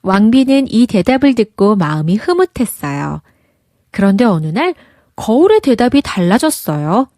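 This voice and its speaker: A woman reads a children's fairy tale aloud. Her voice is fairly high and very animated, with storybook expression and a close, dry sound. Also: normal speed, no room sound. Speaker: storybook narrator.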